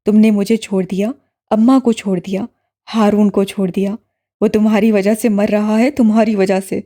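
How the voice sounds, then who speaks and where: A woman voicing a bitter young man who shouts accusations at his sister, in a lowered voice in an audiobook. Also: fairly even intonation, lightly acted. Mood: bitter, shouting. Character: furious young man, bitter estranged brother. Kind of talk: audiobook character voice.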